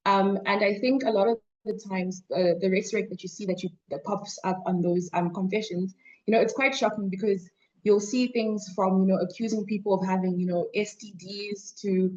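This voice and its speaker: A woman's voice: mid-range voice, fairly even intonation, moderate pace, darkish tone, highs cut off. A young woman student, speaking on a panel in a hesitant way with many fillers. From Zimbabwe, raised in South Africa. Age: young adult. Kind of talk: panel remarks.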